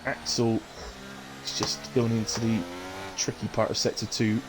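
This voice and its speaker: Chesty man commentating over clear background noise. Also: on the low side, level tone, moderate pace. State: coughing, chest infection. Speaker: sim racing commentator and stream host. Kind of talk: live race commentary with casual chat.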